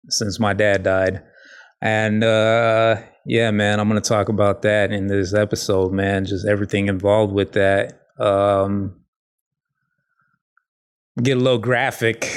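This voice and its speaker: A man hosting a casual solo podcast, talking in a halting, rambling, informal way with a slight lisp. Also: fairly low pitch, clear, resonant tone. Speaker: podcast host.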